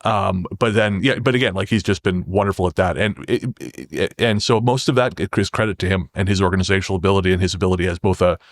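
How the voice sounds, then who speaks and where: Male podcast guest, lowish pitch, halting conversational delivery, very dry sound. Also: no room sound. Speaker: podcast guest, horror fiction writer. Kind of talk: conversational interview talk.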